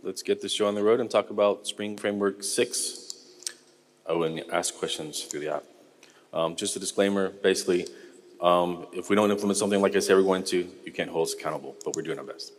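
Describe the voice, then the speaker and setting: American guy, low voice, chatty tech talk with lots of ums, echoey hall. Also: a little fast. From United States. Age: middle-aged. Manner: relaxed, conversational, frequent ums, polls the audience. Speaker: conference speaker. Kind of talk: technical conference talk. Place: large conference hall.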